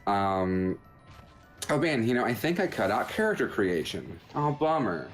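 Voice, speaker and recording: Male podcast host, low register, loose and jokey game commentary with music clearly behind. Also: very animated, measured pace. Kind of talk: casual commentary over gameplay footage.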